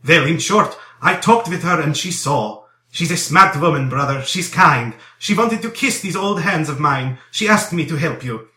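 A man's voice: a little high, animated, normal speed, few pauses, sharp tone, pitched above the performer's own voice. A man voicing a kindly old police commissioner in an audiobook, compassionate and flustered, rambling and coaxing earnestly. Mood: fatherly, coaxing. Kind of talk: dramatized audiobook character dialogue. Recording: roomy echo.

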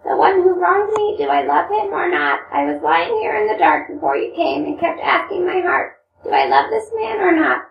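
A woman's voice: extremely high pitch, very animated, moderate pace, creaky, dull audio, voice pushed up, heavily acted. A woman playing an emotional young Russian woman in a storybook reading, pleading with dramatic questions. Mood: torn, pleading. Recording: roomy, distant microphone, noisy hum.

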